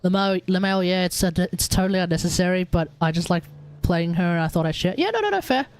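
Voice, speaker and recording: A man's voice: high voice, animated, fast talker, clear, resonant tone. A young man with a laid-back, musing manner, casually commentating on a game and chatting with viewers. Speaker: live streamer.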